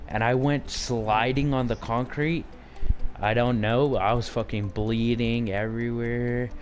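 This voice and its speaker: A man's voice: mid-range voice, fairly even intonation, a little slow, a little hiss or hum. A young man telling stories in a casual, brash, profanity-laced and teasing chat.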